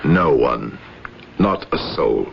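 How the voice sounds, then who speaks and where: An actor in an old radio drama playing a proud, embittered, ailing army general, grave and formal. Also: male voice, rumbling low, animated, takes it slowly, vocal fry, heavily acted. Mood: bitter. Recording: muffled, noisy old radio recording.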